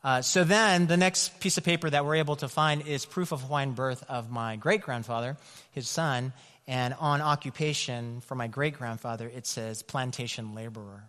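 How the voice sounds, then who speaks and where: A man's voice: medium pitch, normal speed, fairly bright tone. A man from California, a pastor, telling personal stories in a loose conversational way with many fillers. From California, USA. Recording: long hall reverb.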